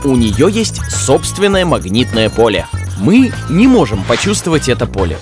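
A man's voice: medium pitch, sing-song, moderate pace, music in the background, close to an everyday voice. A man playing a playful science explainer, narrating an educational children's cartoon in a didactic, scripted way. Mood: explanatory, playful.

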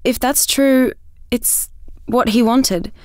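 A woman's voice: a little high, slow, darkish tone, dry recording, studio quality. An actress playing a defiant young woman, an explorer's grieving daughter, in a naturalistic audio drama. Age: young adult.

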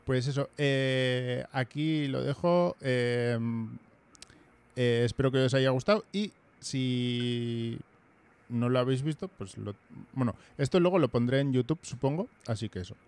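Man, thirties, halting chatty podcast host, fillers and restarts, close studio mic in a small dim room. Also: medium pitch, a little mellow, smooth. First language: Basque. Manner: hesitant, many fillers and restarts. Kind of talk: casual video-call chat. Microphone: headphones and studio mic.

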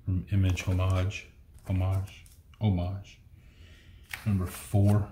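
Middle-aged man, deep voice, flat delivery, muttered issue numbers, echoey room, faint hiss. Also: takes it slowly. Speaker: comic collection unboxing host. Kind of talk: casual commentary while sorting comics. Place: indoor room at a table. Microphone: fixed camera across the table.

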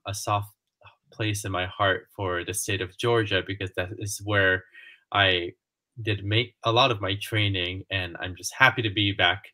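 Man from Japan, thirties, bright and low, chatty with fillers, video-call guest. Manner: chatty, many fillers and restarts. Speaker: music and artistic director. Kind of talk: casual video-call interview. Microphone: headphones on a video call.

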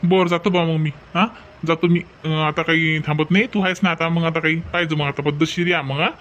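A man acts out an obliging villager in a cartoon family drama, with a high male voice and quick delivery. He repeats himself soothingly in a Varhadi Marathi accent, and the audio is dull. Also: fairly even intonation, a bit bright, slight background noise, close to an everyday voice. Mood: matter-of-fact. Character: plain, obliging village wedding menfolk.

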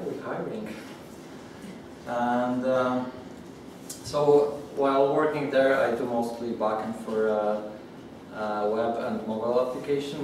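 A young man from Poland gives a technical talk to a room of developers, stopping and restarting often and filling gaps with fillers. His voice sits at an ordinary pitch for a man. It was picked up from well away by a distant mic in a very echoey room with steady noise behind. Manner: halting, many fillers and restarts. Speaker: conference speaker. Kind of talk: technical talk at a user group. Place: meeting room with audience.

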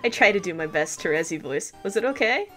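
A woman's voice: fairly low pitch, expressive, fast, sharp tone, soft background music. A woman voicing a playful, breezy teenage cosplayer in a cartoon comic dub, doing a character voice.

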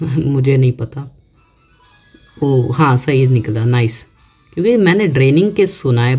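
A man giving casual let's-play commentary, chatting to himself and reading on-screen text aloud slowly. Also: mid-range voice, darkish tone. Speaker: gaming YouTuber. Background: faint game music.

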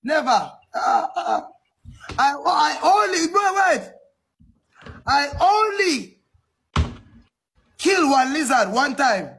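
A man's voice: very high-pitched, sing-song, slow, gravelly, long pauses, fairly bright tone, exaggerated voice. A man doing a squealing, boastful applicant. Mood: wailing. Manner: broken English, wailing, indignant boasting. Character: excitable male visa applicant, boastful.

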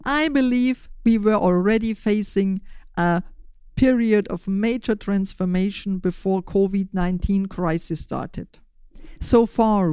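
Older German-accented woman, clean smooth voice. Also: mid-range voice, dark tone, no room sound. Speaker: podcast host and initiator. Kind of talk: prepared, scripted-sounding podcast interview answers.

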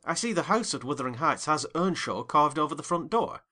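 A man voicing a curious, polite gentleman tenant in a storybook reading. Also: fairly high pitch, expressive, a little fast. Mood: inquisitive. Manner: polite, inquisitive.

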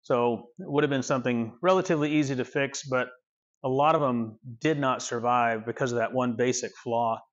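A man in his fifties giving a casual walking tour of his car restoration workshop, relaxed and rambling with many fillers, recorded on a small clip-on mic. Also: medium pitch, a little fast, lo-fi sound.

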